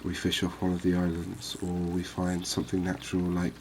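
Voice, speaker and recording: A man giving unhurried, murmured ASMR commentary as he hosts a fishing game series. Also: sits low, completely flat delivery, darkish tone, a little hiss or hum. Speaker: host of a gaming series.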